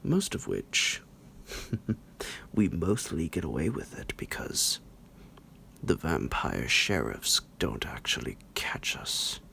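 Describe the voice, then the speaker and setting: A menacing vampire doctor, very low and heavily creaking. He draws his words out slowly in a theatrical, teasing ASMR monologue, slipping into a breathy half-whisper like a smug captor toying with prey. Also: huge pitch swings, bright, forceful tone, full character voice. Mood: smug, sinister. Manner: slow, drawn-out, theatrical, halting, with low chuckles.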